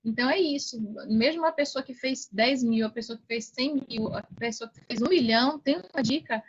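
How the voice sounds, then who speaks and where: A Brazilian woman in her forties with a fairly high voice speaks as a guest coach in a casual motivational chat. She is earnest and emphatic, repeating phrases for weight. The audio is a little dull. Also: normal speed, husky. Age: 40s. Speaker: podcast guest, affiliate marketing coach. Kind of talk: casual motivational interview talk.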